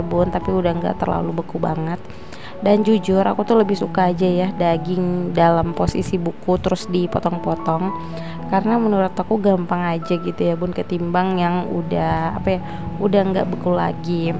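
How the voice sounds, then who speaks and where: Hoarse woman, low gravelly voice. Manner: chatty, addresses viewers as "Bun". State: hoarse from a sore throat. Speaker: vlogger. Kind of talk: cooking vlog voice-over. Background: background music.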